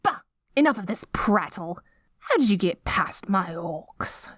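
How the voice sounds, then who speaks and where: A woman's voice: mid-range voice, sing-song, unhurried, fairly bright tone, dry recording, clean studio sound. A woman playing a dorky, melodramatic young evil queen in an audio roleplay, stammering when flustered and grandiose in her villainy. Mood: flustered, incredulous. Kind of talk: scripted ASMR audio roleplay.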